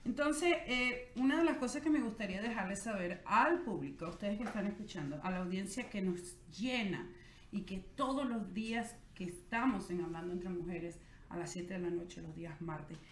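Host: middle-aged woman, mid-pitched, formal hosting tone, roomy echo. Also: moderate pace, slight background noise. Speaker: talk show host. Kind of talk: interview hosting. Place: small TV studio with backdrop. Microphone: studio mic on stand.

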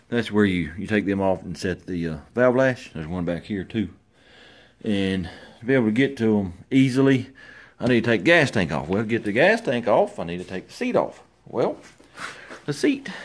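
Middle-aged man, relaxed repair-channel host, lively pitch, dry humor, camera mic in a large workshop. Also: moderate pace. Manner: easygoing, wry, talks to himself while working. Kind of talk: casual repair commentary. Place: large garage workshop, indoors.

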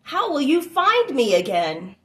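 A woman's voice: high-pitched, huge pitch swings, a little slow, a bit bright, echoey room, pitched above the performer's own voice, exaggerated voice. A woman voicing an anxious, pleading baby elephant in a children's storybook. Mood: pleading, worried. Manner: pleading, plaintive questioning. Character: anxious young baby elephant longing for its mother. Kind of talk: expressive children's storybook read-aloud.

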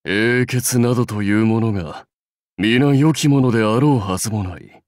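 An actor playing a proud, seasoned samurai warlord in a game, speaking in stately, measured, archaic phrases with wry authority. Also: male voice, lowish voice, a little mellow, no room sound, clean studio sound, close to an everyday voice. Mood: resolute, solemn. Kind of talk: scripted game character lines.

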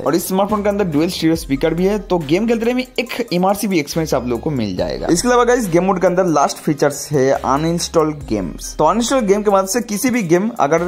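A man with a fairly high-pitched voice narrates a tutorial with faint music behind him. He explains one step at a time and speaks straight to his viewers, in the style of a gaming channel host. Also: a little mellow. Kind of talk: scripted tutorial narration of phone features.

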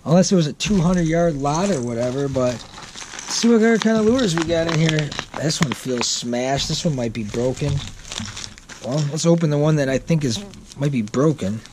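A man's voice: fairly high pitch, animated, moderate pace. A man in his thirties chatting casually while unboxing fishing gear in his garage, rambling and correcting himself as he goes, recorded on a wearable camera. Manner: rambling, self-correcting, easygoing. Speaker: vlogger. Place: home garage, seated on a boat. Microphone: wearable action camera. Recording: packaging crackle over voice. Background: crinkling packaging.

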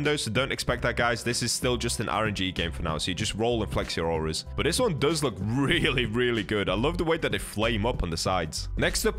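Young brit guy doing excited game commentary, highish voice that swings around a lot, music behind. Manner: enthusiastic, exclamatory, chatty. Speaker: gaming video host. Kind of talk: excited casual gameplay commentary.

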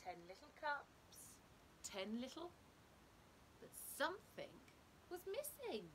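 Bright, animated woman reading a picture book. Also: sits high, slight background noise. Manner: deliberate, emphatic storytelling for young children. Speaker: teacher reading to children. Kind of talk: expressive picture-book read-aloud.

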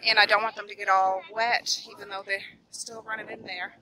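A woman's voice: medium pitch, flat delivery, brisk, heavy background noise. A woman in her thirties from Nova Scotia, chatting to her camera and calling out to her kids on an outdoor walk. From Nova Scotia, Canada. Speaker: vlogger and mother. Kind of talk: casual family vlog while walking. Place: outdoors on a windy beach and forest trail. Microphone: handheld camera at arm's length.

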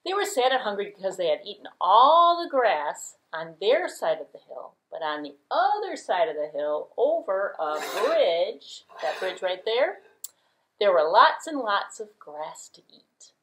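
A woman's voice: medium pitch, sing-song, unhurried, fairly bright tone. A middle-aged woman telling a puppet-show story, talking conversationally to children. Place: small room indoors.

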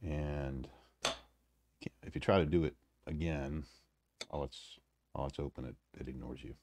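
A man giving a casual, unscripted electronics demo in a halting, self-deprecating way with wry humor. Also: a bass voice, fairly even intonation, vocal fry, a little mellow, slight background noise. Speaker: electronics hobbyist presenter. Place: indoors at a home workbench.

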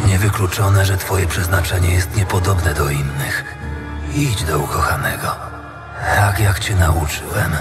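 A man's voice: deep voice, steady tone. A man playing a mocking, commanding void wanderer, an ethereal shadow mentor, in a fantasy audio drama. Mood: intrigued, measured. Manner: measured, commanding, taunting. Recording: loud music under the voice.

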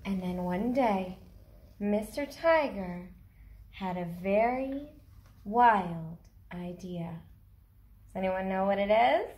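A woman's voice: medium pitch, animated, slow pace, pauses often, a little mellow, echoey room. A woman in her thirties reading a picture book aloud to young children, expressively, with simple questions for the listeners. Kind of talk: children's read-aloud storytelling. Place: small cardboard fort indoors.

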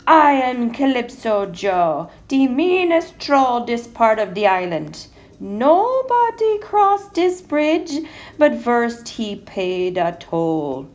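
A woman's voice: high voice, big pitch swings, slow, few pauses, voice pushed up, heavily acted. A woman voicing a mean, cranky old male troll in a storybook, roaring and bullying in island-flavoured speech. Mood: menacing, booming. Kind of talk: storybook character voice.

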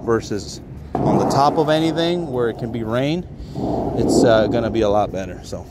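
A man's voice: mid-range voice, moderate pace. A man giving a casual, explanatory on-site walkthrough as a tradesman, in an informal, plain-spoken way. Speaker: HVAC contractor presenting a job. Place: outdoors on a commercial rooftop. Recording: outdoor handheld recording with ambient noise.

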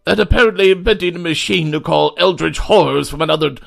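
A man's voice: a little high, fast talker, creaky. A man voicing a dramatic, anxious inventor father with wry asides, in an amateur game fandub. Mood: woeful, wry. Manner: theatrical, self-mocking asides.

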